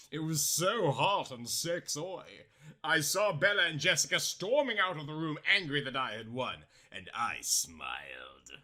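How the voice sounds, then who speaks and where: Animated high-voiced male story narrator. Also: vocal fry. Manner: melodramatic, exaggerated emphasis. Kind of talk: theatrical comic reading of parody fan fiction.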